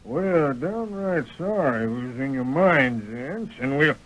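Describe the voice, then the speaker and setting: Slow-drawling Western outlaw. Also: male voice, medium pitch, animated, a bit bright. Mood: feigned meekness. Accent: American. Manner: rough frontier drawl, menacing. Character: mean, sly Western outlaw. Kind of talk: 1930s-40s radio western acting. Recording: noisy vintage radio recording.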